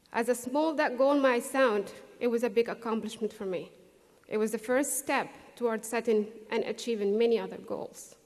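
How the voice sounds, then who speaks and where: Middle-aged woman, English as a second language, earnest convocation speaker, slightly high voice, long hall reverb. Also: darkish tone. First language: not English. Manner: earnest, measured, with pauses for emphasis. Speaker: graduation speaker. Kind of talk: prepared convocation speech. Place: large hall. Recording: distant echoey hall recording.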